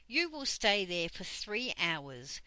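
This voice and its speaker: A woman voicing a cross, fierce old schoolmistress in a storybook reading, with a sharp, commanding delivery and a loud, raised voice. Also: medium pitch, big pitch swings, slow pace, dry recording, full character voice. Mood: stern.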